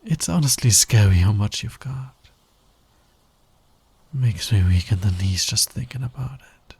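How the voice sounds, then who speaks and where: A man playing a sly, teasing, dominant fantasy villain in an intimate ASMR roleplay, breathy and husky, often near a whisper. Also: fairly low pitch, darkish tone, dry recording, heavily acted. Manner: slow, teasing, deliberate delivery. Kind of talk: intimate ASMR roleplay, romantic audio drama.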